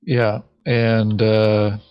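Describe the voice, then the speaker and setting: A man's voice: fairly low pitch, level tone, drawn-out words, smooth, dry recording, muffled recording. A man chatting casually as a board game player, giving short, hesitant answers. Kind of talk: casual chat while planning a board game session.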